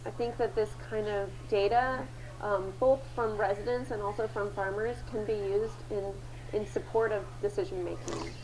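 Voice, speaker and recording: Mid-pitched woman giving an interview. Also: steady tone, normal speed, a little mellow, a little hiss or hum, poor audio. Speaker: interviewee in a news report. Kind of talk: unscripted interview talk.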